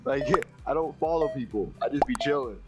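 A man's voice: medium pitch, fairly quick, over loud music. A man playing an online game, chatting casually and slangily with friends. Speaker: game player. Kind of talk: casual group game chat.

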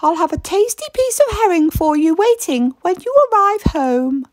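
Very high, fussy storybook wife. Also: female voice, animated, normal speed, dry recording. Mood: consoling. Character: fussy, kindly lighthouse keeper's wife, storybook.

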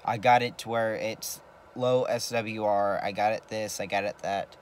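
A man giving a casual, rambling gear demonstration as a vlogger, halting often and repeating himself. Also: on the low side, steady tone, slight background noise. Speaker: vlogger showing CB radio gear.

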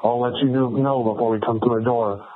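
A male police officer calling in over the radio in terse, clipped police radio traffic. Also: mid-range voice. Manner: clipped, flat and fast. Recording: tinny police scanner radio.

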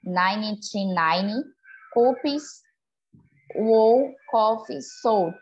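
A woman's voice: medium pitch, dull audio. Hesitant woman learning English. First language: Portuguese. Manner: hesitant, sounds out words slowly. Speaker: English student. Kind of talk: reading aloud in an online class. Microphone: video call.

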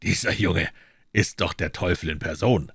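A man voicing a cold, scornful middle-aged nobleman who speaks quietly and with contempt. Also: low voice, normal speed, gravelly, slightly rough, dry recording, studio quality, exaggerated voice. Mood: cool scorn.

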